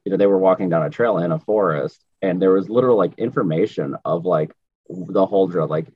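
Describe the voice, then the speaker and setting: A man's voice: brisk, breathy edge. A man chatting casually as a podcast host, in an informal, slangy and emphatic way. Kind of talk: casual chat. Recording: roomy, muffled low-fidelity audio.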